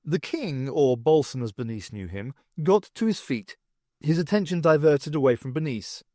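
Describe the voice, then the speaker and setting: A man reading an audiobook aloud with an expressive, wry storytelling manner. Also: a little high, normal speed, no room sound, clean studio sound. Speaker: audiobook reader. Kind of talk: audiobook narration.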